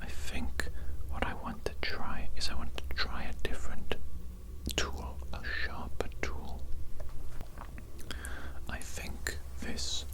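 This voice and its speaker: A person whispering as a politely menacing evil doctor in an ASMR roleplay, speaking deliberately with soft, hesitant asides. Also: lightly acted, rough recording. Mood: clinical, coaxing.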